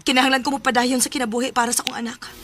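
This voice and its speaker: A woman's voice: high voice, little pitch movement, very fast, very noisy, lightly acted. An actress playing an anxious, tender young single mother in a Filipino radio drama, with a Filipino accent and emotional, hesitant delivery. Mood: sad, resolute.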